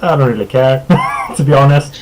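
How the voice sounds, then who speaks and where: A laid-back man from Sweden, Swedish his first language, hosts a casual live-streamed chat in an even, mid-range voice. He chuckles often and trails off into fillers. A faint hum of room ambience sits under his voice. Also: medium pitch, brisk. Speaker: podcast host.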